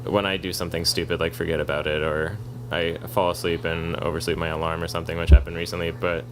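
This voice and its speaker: A man co-hosting a podcast, talking at length in a monotone, argumentative way in casual chat. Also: sits low, quick pace, noisy background. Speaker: podcast co-host, activist. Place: kava bar.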